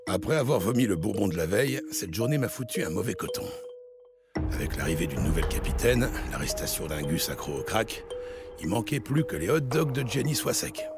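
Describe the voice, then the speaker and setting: An actor playing a jaded, hungover New York police lieutenant, narrating a noir audio drama in a wry manner. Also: male voice, lowish voice, very animated, fairly quick. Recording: loud music bed behind voice.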